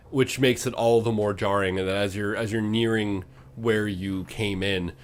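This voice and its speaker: A man working as game master, improvising dramatic, descriptive narration for a fantasy tabletop game. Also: lowish voice.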